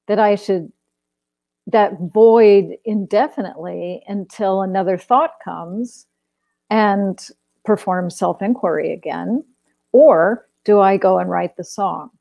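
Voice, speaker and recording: A woman moderating an online stream, reading out a viewer's question in measured, careful phrases. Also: mid-range voice, vocal fry, mellow, no echo, highs cut off.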